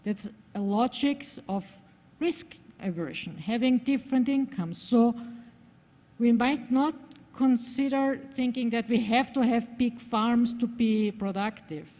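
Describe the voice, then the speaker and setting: A woman's voice: a little high, unhurried, dark tone. A woman from Austria, speaking English as an on a forum panel, searching for her words. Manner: thoughtful, searching for words, speaking a second language. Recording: long hall reverb.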